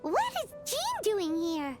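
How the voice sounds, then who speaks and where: A woman's voice: very high-pitched, huge pitch swings, slow pace, exaggerated voice. A woman voicing a tiny, childlike fairy companion in a video game, cheerful and quirky, with a singsong, exclamatory delivery. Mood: curious. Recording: music bed behind the voice.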